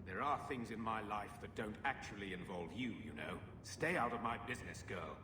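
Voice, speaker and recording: An actor playing a gruff, bitter older man in a fantasy game, curt and irritable. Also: lowish voice, a little fast, noisy background, close to an everyday voice. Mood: bitter, snapping. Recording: long reverb, music behind.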